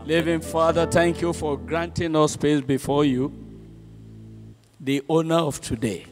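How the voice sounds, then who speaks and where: A man leads a slow, solemn prayer as minister at the close of a church service. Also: a little high, steady tone. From Nigeria. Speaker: minister giving benediction. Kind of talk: spoken prayer. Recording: reverberant hall with faint music.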